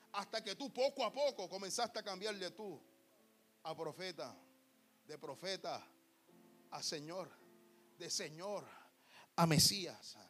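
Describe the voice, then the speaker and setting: Young male preacher, high voice, fervent, repetitive delivery. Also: sharp tone. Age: young adult. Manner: fervent, repetitive, calls listeners "amado". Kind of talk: Pentecostal sermon.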